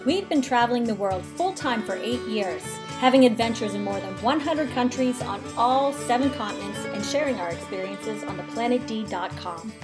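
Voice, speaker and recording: A woman's voice: on the high side, lively intonation, moderate pace, few pauses, loud music behind the voice. A woman from Canada reading an upbeat, scripted voice-over for a travel vlog. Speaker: travel vlogger narrator.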